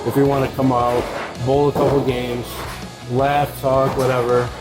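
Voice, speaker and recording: A man's voice: mid-range voice, little pitch movement, moderate pace, poor audio. Young man with music playing behind. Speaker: bowler being interviewed. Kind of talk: casual interview answers, some reading aloud. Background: music, in a bowling alley.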